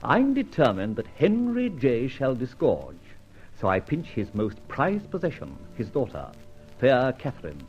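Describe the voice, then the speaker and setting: An actor playing a suave, witty British gentleman outlaw in an old radio detective drama, wordy and mock-courteous. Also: medium pitch, wildly swooping pitch, a little slow, muffled recording, soft background music. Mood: wry, narrating.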